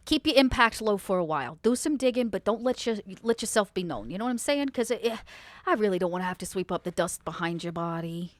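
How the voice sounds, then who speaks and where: A woman's voice: medium pitch, animated, quick pace, no room sound, close to an everyday voice. A woman playing a shrewd, protective vampire fixer in improvised role-play, with a folksy, slangy, coolly threatening manner. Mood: protective, warning.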